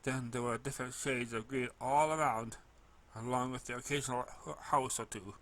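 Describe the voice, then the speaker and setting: A man narrating a first-person story expressively, with an animated manner and the occasional stumble over words. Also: mid-range voice, normal speed.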